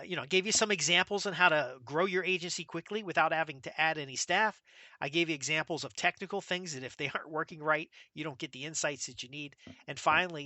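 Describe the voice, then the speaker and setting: An American man hosting a solo business podcast, talking in a brisk, emphatic way and rattling off lists. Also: on the high side, pushed and bright, no echo. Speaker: podcast host.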